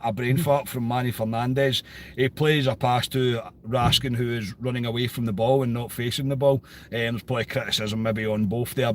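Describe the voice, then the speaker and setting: A Scottish man hosting a casual football podcast, his voice a bit croaky, chatty and repeating phrases for emphasis. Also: medium pitch, slight background noise. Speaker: podcast host.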